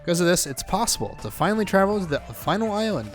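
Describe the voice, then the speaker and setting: A man's voice: high-pitched, big pitch swings, fast, faint music behind, pitched above the performer's own voice. A man voicing a small, earnest female rabbit mascot who makes a formal, proud announcement.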